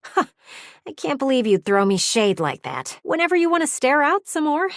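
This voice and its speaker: A woman's voice: sits high, sing-song, moderate pace, no echo, clean studio sound. A woman voicing a vain, blunt, flirty living window in a video game, with a theatrical, emphatic delivery. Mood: frank, flirty. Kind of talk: video game character voice acting.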